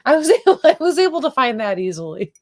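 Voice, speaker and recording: Lively Buffalo-accented woman co-host. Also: high voice, fast. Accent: Buffalo, New York. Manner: laughs easily, animated. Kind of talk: casual livestream chat.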